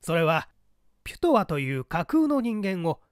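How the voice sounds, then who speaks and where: A man's voice: high voice, very animated, moderate pace, no room sound, studio quality. A man voicing a hearty, pompous older bureau chief in an audiobook, talking in a grand, scornful, patronizing way. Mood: teasing, patronizing. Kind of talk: audiobook character acting.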